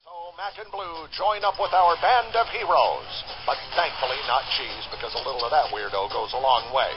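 An actor playing an excitable, wisecracking cartoon narrator in a video game, chatty and exaggerated as he comments like a TV viewer. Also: medium pitch, moderate pace, very noisy, close to an everyday voice. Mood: wry narration. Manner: animated, exaggerated, chatty, self-interrupting. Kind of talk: comic cutscene narration. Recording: boxy lo-fi screen capture with loud music. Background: loud game music.

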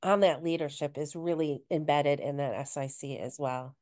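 A woman's voice: low-pitched, steady tone, normal speed, a little mellow. A woman co-hosting a webinar over a video call, encouraging participants and reading comments from the chat. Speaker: webinar co-host. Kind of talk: conversational webinar discussion.

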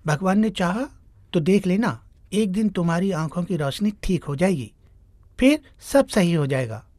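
A woman voicing a devoted, gentle young village son in an animated moral story. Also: lowish voice, normal speed, dry recording. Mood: reassuring. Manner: earnest, gentle.

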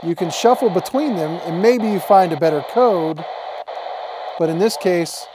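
A man's voice: high voice, a little slow, background noise. A man, a TV meteorologist walking viewers step by step through setting up a device in a studio. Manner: friendly step-by-step explaining, handling a radio. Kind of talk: instructional broadcast demo. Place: TV weather studio.

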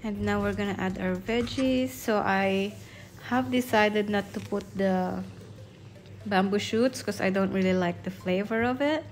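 A woman gives a home cooking voice-over, walking through each step at a slow, unhurried pace. Her voice sits at a middle pitch. Clear kitchen noise and frying sounds run behind her. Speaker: home cook vlogger. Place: home kitchen, food sizzling in pan.

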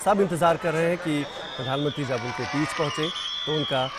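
A man's voice: a little high, fairly quick, heavy background noise. A man narrating a live news broadcast of a roadshow, talking urgently and repeating himself with fillers. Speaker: TV news reporter. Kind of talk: live news commentary over roadshow footage. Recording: loud crowd cheering and music behind. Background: crowd chanting and music.

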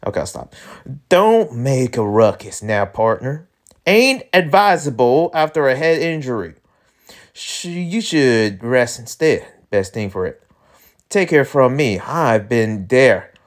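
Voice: mid-range voice, lively intonation. A performer voicing a menacing, mocking cowboy with a folksy drawl. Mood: calm, patronizing. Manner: slow, folksy, taunting drawl.

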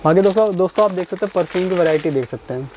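A man's voice: sits high, expressive, racing, background noise. A man hosting a walkthrough of a wholesale perfume shop, eagerly repeating prices back to his viewers. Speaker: market video host. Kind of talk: casual market walkthrough.

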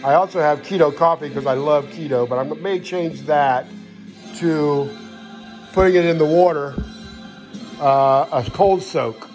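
A man's voice: fairly high pitch, unhurried, darkish tone, faint music behind. A man giving a casual, unscripted gear walkthrough in a folksy, matter-of-fact way, recorded indoors on a handheld phone. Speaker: hiker showing gear. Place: indoors in a home living room. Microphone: handheld phone camera, speaker behind it.

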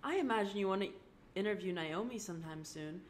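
A woman voicing a bubbly, teasing teenage girl, playful and excitable. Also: medium pitch, fairly quick, a little hiss or hum, lightly acted.

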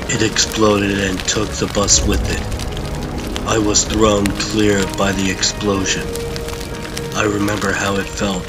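A man playing a somber crash survivor, narrating his tragedy in a measured, brooding way for a comic dub. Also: fairly low pitch, little pitch movement, loud music behind the voice. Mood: somber, reflective.

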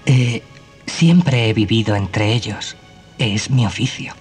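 Young fairy-tale prince, earnest and loving, Castilian accent, faint music on old cassette. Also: male voice, on the low side, slow pace, a little mellow. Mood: modest, surprised. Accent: Castilian Spanish. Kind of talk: children's storybook dramatization. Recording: 1980s cassette, music behind.

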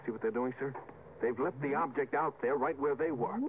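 A man's voice: mid-range voice, brisk, close to an everyday voice. An eager male junior spaceship officer, played by an actor in old radio drama. He sounds earnest and deferential, with urgency. The recording is tinny and narrow, with a faint hiss. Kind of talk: 1950s radio drama acting.

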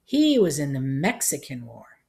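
A woman voicing a forceful, gruff country grandmother in a storybook, booming and blunt. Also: wildly swooping pitch, measured pace, heavily acted.

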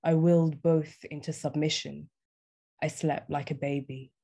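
A young woman poet reading her poems aloud in a measured, deliberate way. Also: low voice, little pitch movement, gravelly, dark tone, slightly rough. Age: young adult. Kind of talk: poetry reading. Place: small indoor room, on a video call.

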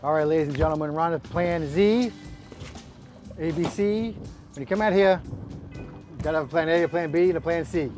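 A man's voice: a little high, a little fast, pauses often. A middle-aged man chatting casually to camera on a fishing boat at sea, with a joking, teasing manner. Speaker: fishing vlog co-host. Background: music.

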